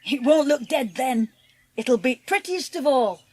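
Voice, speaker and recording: A woman's voice: high voice, animated, a little slow, darkish tone. A woman playing a kindly country boy with a Yorkshire accent, reassuring and plain-spoken, in an audiobook drama. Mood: gentle, reassuring. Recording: noticeable background noise.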